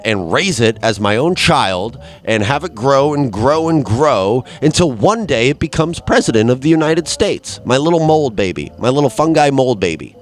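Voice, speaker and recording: A young man with a stuffed-up nose rambling through a crude, self-deprecating solo monologue, full of restarts and asides. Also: mid-range voice. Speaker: podcast host.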